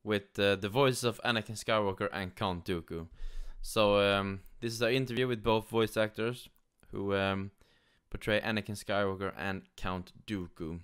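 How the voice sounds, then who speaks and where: A man from Sweden with a Swedish accent, giving casual, somewhat halting gaming news commentary. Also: lowish voice, steady tone, a bit bright, clean tone. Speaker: gaming YouTuber. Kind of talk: casual news commentary, partly reading aloud.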